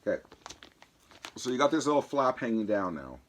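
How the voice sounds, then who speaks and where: A man hosting a casual vlog demonstration, talking haltingly with a voice that comes and goes from a throat infection. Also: mid-range voice, sing-song. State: sore throat from an infection, voice going in and out. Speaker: vlog host. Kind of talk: casual product demonstration to camera.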